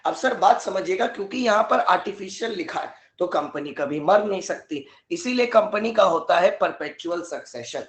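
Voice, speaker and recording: A man teaching a live online law class with a hoarse, sore throat, often clearing it and mixing in English terms. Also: sits high, lively intonation, moderate pace, few pauses, echoey room.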